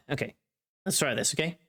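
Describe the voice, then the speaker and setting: A woman with a very deep voice chats casually on a livestream while she works. Her talk is halting and informal, with little murmurs to herself between remarks. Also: lively intonation, a bit bright, husky.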